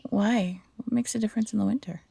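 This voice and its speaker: A woman playing a wry fox hybrid traveller in a fantasy audio roleplay, with a breathy, gravelly voice. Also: mid-range voice, fairly even intonation, brisk, warm tone. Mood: worried.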